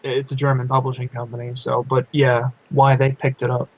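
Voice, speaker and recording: A man's voice: medium pitch, monotone, a little fast, slightly rough. A young man co-hosting a podcast, chatting casually with brief interjections. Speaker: podcast co-host. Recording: slightly dull, lo-fi audio.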